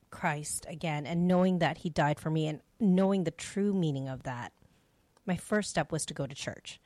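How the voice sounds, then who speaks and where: A woman's voice: lowish voice, no echo. A middle-aged woman from Canada hosting a faith show, giving personal testimony in a conversational, reflective and earnest way. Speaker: host of a faith radio show.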